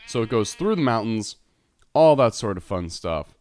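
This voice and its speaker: A man's voice: on the low side, sing-song, moderate pace, a little mellow, faint music behind. A man with a stuffed-up, congested nose, chatting his way through a tour of a Minecraft build and correcting himself as he goes. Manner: rambling, self-correcting, chatty. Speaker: Minecraft server let's player. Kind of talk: casual gameplay commentary.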